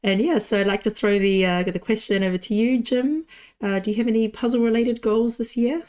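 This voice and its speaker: A woman hosting a live online puzzle contest, husky and a bit hoarse from a cold, chatty with frequent ums and light laughs. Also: fairly high pitch, fairly even intonation, fairly quick, a little mellow. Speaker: host of an online puzzle competition. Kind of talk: casual live-stream hosting.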